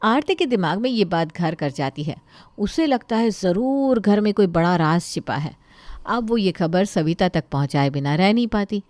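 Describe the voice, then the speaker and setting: A woman narrating a scripted moral story with expressive storytelling delivery. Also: medium pitch, moderate pace, no echo.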